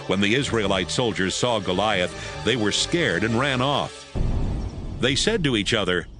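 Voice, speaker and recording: A man's voice: lowish voice, expressive, unhurried. A man narrating a dramatized audiobook as a scripted storyteller. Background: music.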